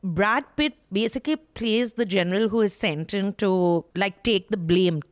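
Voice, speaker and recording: A woman's voice: medium pitch, moderate pace, dry recording. Chatty Indian woman, dry close mic. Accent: Indian English. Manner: chatty, laughs often, sarcastic asides. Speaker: co-host reviewing films. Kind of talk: casual chat.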